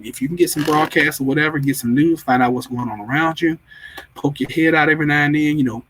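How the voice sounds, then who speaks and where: Husky-voiced man chatting on a livestream. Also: fairly high pitch. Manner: rambling, repeats himself, restarts often. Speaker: livestream host. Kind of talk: casual livestream chat, reading viewer comments.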